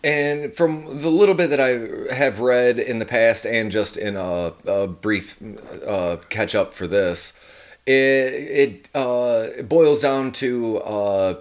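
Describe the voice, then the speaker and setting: A man of middle pitch hosts a podcast in a loose, chatty way. He swears easily and gets enthusiastic, but stalls often on "uh" and "I guess" while he looks for the next word. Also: slow. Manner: rambling, profane, enthusiastic, with hesitant fillers. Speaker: podcast host. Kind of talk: casual podcast chat.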